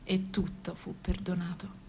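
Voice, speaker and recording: An actress playing a sorrowful asylum inmate, reciting verse in a solemn, incantatory chorus for radio theatre. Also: female voice, on the low side, little pitch movement, unhurried, dark tone, husky. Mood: mournful, solemn.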